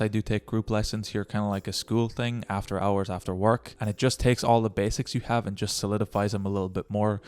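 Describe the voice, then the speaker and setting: A man's voice: fairly low pitch, darkish tone, clear, resonant tone. A young man giving encouraging language-learning tips in a casual vlog, talking straight to the viewer. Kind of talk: casual tutorial vlog talk.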